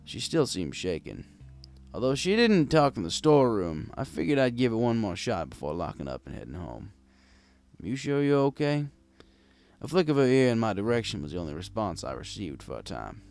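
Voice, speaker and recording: A man's voice: fairly low pitch, very animated, moderate pace, vocal fry, darkish tone, dry recording. A man narrating a scripted first-person story as a world-weary, kindly old bartender. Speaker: audiobook narrator.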